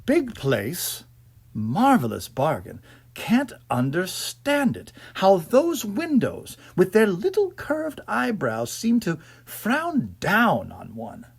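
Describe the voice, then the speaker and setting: A man voicing a fussy, uneasy gentleman house-hunter in an audiobook ghost story, musing aloud in clipped mutters. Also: high-pitched, huge pitch swings, slow, creaky, breathy edge, voice pushed up, full character voice. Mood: puzzled, musing.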